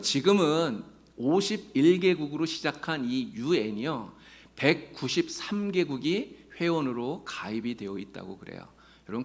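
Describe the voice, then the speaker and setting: A male pastor preaching a sermon in a church, earnestly addressing his congregation and mixing in folksy jokes. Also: fairly high pitch. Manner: tells folksy jokes between teaching. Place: church sanctuary.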